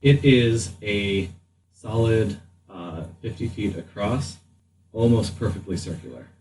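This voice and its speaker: A man with a fairly low voice runs a tabletop game as game master. His narration is halting and deliberate, picked up at some distance from the mic with faint noise in the recording. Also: expressive, a little mellow.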